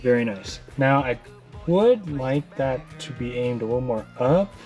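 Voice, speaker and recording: A man's voice: medium pitch, lively intonation, measured pace, music in the background. A man talking casually and informally through a hands-on how-to, rambling a little as he explains. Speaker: hobbyist presenter of a how-to video. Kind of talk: casual hands-on tutorial talk.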